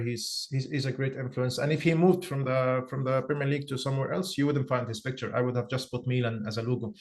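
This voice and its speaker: A man from Egypt in his thirties with an Egyptian accent, co-hosting a podcast in casual chat, halting and repeating words as he starts sentences. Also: medium pitch. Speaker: podcast co-host.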